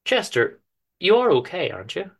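A man voicing a caring, gently worried wife in an affectionate, hesitant way, in a story reading. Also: sits high, huge pitch swings, moderate pace, vocal fry, husky, pitched above the performer's own voice, exaggerated voice. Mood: gently concerned.

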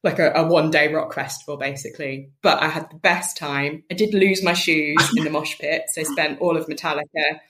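Bright-voiced, low-pitched woman from Wales. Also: fairly even intonation, slight background noise. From rural mid Wales. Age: late 30s. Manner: chatty, informal, quick to laugh. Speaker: interview guest, charity founder. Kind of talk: casual podcast chat.

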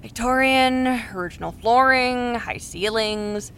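A woman's voice: a little high, unhurried, a bit bright, a little hiss or hum. A woman playing a wry, practical, supportive best friend in her thirties, in naturalistic audio drama dialogue. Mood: casual. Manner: casual, wry, encouraging.

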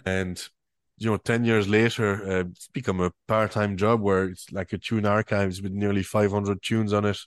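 Swiss-French man, low voice, dry sound. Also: little pitch movement, moderate pace, no echo. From French-speaking Switzerland. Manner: hesitant, many fillers and restarts. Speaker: musician and guest. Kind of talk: relaxed interview chat.

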